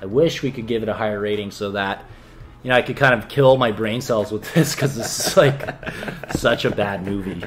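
A man's voice: on the low side, normal speed, soft background music. A man in his twenties co-hosting a casual film review in a small living room, talking with exasperated scorn. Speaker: film review show co-host.

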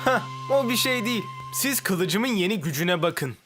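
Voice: medium pitch, lively intonation, moderate pace, close to an everyday voice. An actor dubbing a boastful, showy young cartoon swordsman. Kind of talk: Turkish cartoon dub. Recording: music behind.

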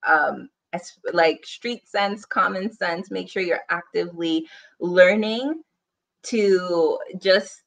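A woman's voice: on the low side, slow, vocal fry. A young woman with a Guyanese accent, chatting as a podcast guest over a video call, laughing easily and rambling with fillers. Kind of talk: casual interview chat. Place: home room, indoors.

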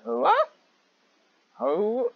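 A man's voice: very high voice, very animated, extremely slow, gravelly, no room sound, phone-quality sound. A man reading a story aloud in a mocking way, exasperated and often breaking off into rambling asides. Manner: exasperated, easily sidetracked, breaking off to comment. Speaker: reader and commentator of fan fiction.

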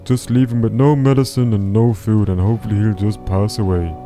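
A man's voice: lowish voice, steady tone, brisk, warm tone, lightly acted. A man playing a cold, evil husband and castle lord, speaking matter-of-factly in a Minecraft roleplay. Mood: cold, scheming. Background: music.

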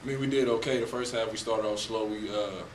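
Young player, low flat voice, echoey. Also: male voice, brisk. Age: young adult. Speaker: college basketball player. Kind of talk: postgame press conference answers. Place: press room at a table before a team backdrop. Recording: echoey room, distant mic, noisy.

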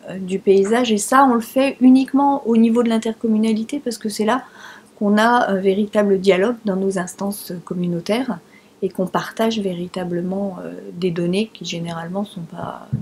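Middle-aged female elected official for interview testimony, medium pitch, husky tone. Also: fairly even intonation, moderate pace, a little mellow.

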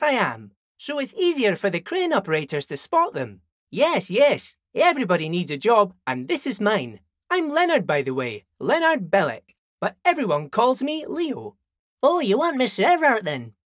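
A man's voice: very high voice, no room sound, clean studio sound, heavily acted. An actor playing a friendly, simple-minded old dockworker in a video game, folksy and rambling, repeating himself as he chats. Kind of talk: video game character dialogue.

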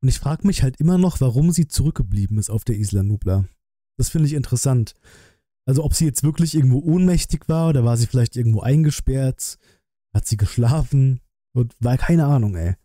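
A man in his thirties talks casually on a live stream, musing out loud in colloquial phrases. His voice is strikingly bright and crisp-edged, at a middling pitch. The sound is dry and close. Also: expressive, a little fast, dry recording. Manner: musing, colloquial, thinking aloud. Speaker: streamer reacting to a game trailer.

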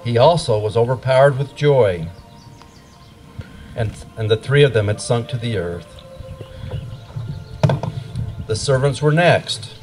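A man's voice: mid-range voice, slow, pauses often, darkish tone. A middle-aged man reading scripted scripture narration into a handheld microphone at an outdoor pageant. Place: outdoor wooded stage. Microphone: handheld microphone through PA. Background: music playing.

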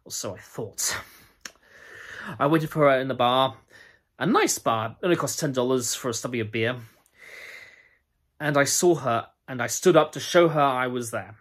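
A young man playing a lonely, self-loathing young man who tells, haltingly, how a date walked out on him; his voice is husky. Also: medium pitch, fairly bright tone, close to an everyday voice. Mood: wry self-pity. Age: young adult. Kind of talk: audition monologue.

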